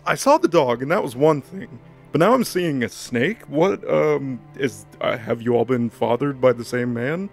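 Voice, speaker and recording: A man's voice: medium pitch, lively intonation, moderate pace, gravelly, soft background music. A man voicing a petty, bewildered male god who stammers out his disapproval in a comic recap. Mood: bewildered indignation. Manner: stammering, indignant, repetitive.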